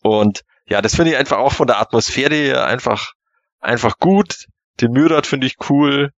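A man on a podcast panel chatting casually and enthusiastically as a fan, halting and often repeating words. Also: mid-range voice, dry recording, highs cut off.

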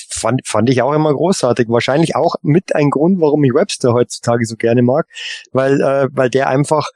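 A man talking as a podcast panelist in a casual fan discussion, enthusiastic but halting. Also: medium pitch, a little mellow, dry recording, studio quality.

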